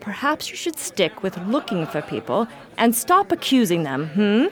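A woman's voice: mid-range voice, big pitch swings, normal speed, lightly acted. A woman playing a suave, unflappable villainess in a radio mystery, with a coolly taunting, insinuating manner. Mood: smug, taunting. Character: suave, sly, unflappable villainess who denies murder. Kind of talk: radio mystery drama acting.

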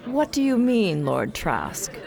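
A woman's voice: mid-range voice, wildly swooping pitch, slow pace, vocal fry, full character voice. A woman playing a tough, confident space raider captain in a radio drama, cool, direct and challenging. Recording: busy crowd chatter behind.

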